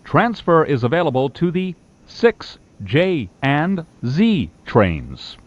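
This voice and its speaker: A man reading recorded subway announcements in a slow, measured, evenly spaced way. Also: fairly high pitch, sing-song. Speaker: transit announcer. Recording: slightly muffled, faint ambience.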